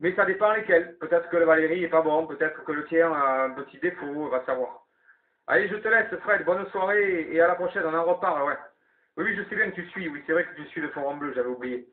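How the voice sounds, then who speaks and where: A man's voice: on the high side, a little fast. A man chatting casually over CB radio, explaining things and repeating himself as he runs the round. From southwest France. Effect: CB radio transmission. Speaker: amateur CB radio operator running the evening round. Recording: narrow tinny CB radio signal.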